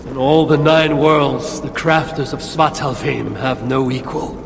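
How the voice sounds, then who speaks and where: Gravelly, proud god-king warrior. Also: medium pitch, steady tone, unhurried, background noise. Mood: solemn, proud. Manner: stately, archaic, declarative phrasing. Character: gruff, proud god-king warrior, confident and battle-hardened. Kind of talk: video game cutscene acting. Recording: music behind, long reverb.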